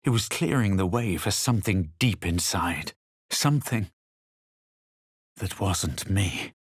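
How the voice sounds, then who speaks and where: An actor playing a brooding male fantasy adventurer, narrating his visions for a video game in a measured, solemn way with a low, husky voice. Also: expressive, no echo, studio quality, exaggerated voice. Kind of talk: dramatic first-person game narration.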